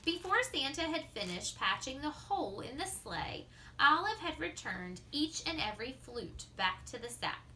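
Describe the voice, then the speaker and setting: Animated, high-voiced woman reading a storybook. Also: slight background noise. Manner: expressive, drawn-out delivery with hushed asides. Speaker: teacher reading a picture book aloud. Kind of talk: animated children's story read-aloud with asides.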